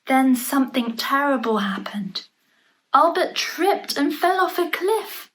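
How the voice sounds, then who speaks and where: A woman reading a picture book aloud for young children, playfully expressive, adding chatty asides and questions about the pictures, in a noisy home recording. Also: high voice, takes it slowly. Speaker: storybook reader for young children. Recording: noticeable background noise, home online recording.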